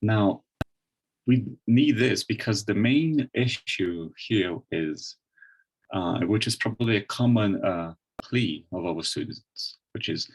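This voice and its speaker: A Brazilian man speaks with a Brazilian Portuguese accent in a low, husky voice. He gives an online lecture in a thoughtful way, with many restarts and fillers, into a computer microphone. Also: low-quality recording. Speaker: webinar presenter.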